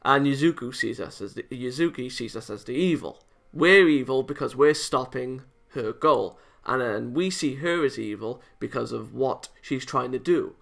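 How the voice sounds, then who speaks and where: A man doing a let's play of a visual novel. His voice is bright with a gravelly creak, and he talks in an emphatic, rambling way as he reads and gives his opinions. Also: medium pitch, normal speed, few pauses. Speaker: gaming video host. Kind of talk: visual novel let's play, reading aloud with off-the-cuff commentary.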